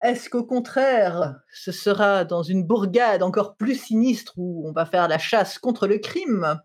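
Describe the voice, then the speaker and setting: A woman's voice: medium pitch, slow pace, husky. A woman acting as game master, narrating a tabletop game playfully with many fillers.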